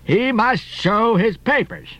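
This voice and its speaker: A man voicing a gruff, blustery old sea captain in an audiobook, hearty and exclamatory. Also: high-pitched, lively intonation, takes it slowly, fairly bright tone, pitched above the performer's own voice, heavily acted. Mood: blustering.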